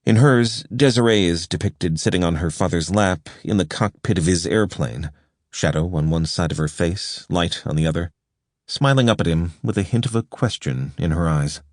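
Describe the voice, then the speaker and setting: A man narrating a nonfiction audiobook in a measured, even storytelling delivery, recorded close in a studio. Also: low voice, no room sound. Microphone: close studio microphone.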